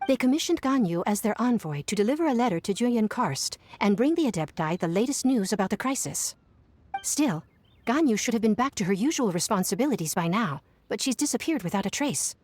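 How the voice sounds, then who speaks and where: A woman's voice: medium pitch, expressive, brisk, darkish tone, slight background noise, lightly acted. A woman playing a dutiful, tired young female secretary in a video game, prim and explanatory. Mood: formal, weary.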